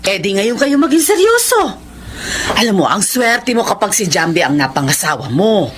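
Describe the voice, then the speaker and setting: A woman acting a scheming middle-aged mother in radio drama, sarcastic and nagging. Her intonation is very lively, with clear ambient noise behind her. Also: mid-range voice, moderate pace, lightly acted. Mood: coaxing, pushy. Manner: scolding, sarcastic. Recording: noticeable background ambience noise.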